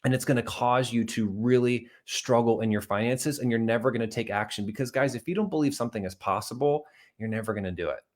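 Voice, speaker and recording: A man's voice: medium pitch, quick pace. Earnest man preaching money and faith. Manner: earnest, conversational, with many asides to "guys"; acts out little dialogues and tells anecdotes. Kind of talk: motivational video talk to viewers.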